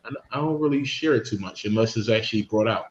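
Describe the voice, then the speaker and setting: Hesitant low-voiced man in an echoey room. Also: fast talker. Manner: hesitant, full of fillers. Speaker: panel guest. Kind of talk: casual video call chat. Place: home room on a video call. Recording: dull roomy home mic with faint hum.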